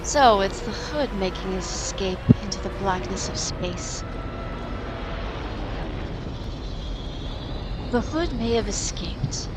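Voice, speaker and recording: A woman's voice: on the high side, noisy background, lightly acted. A woman playing a bold, heroic magician in a pulp audio drama, declaiming with defiance and indignation. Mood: resolute.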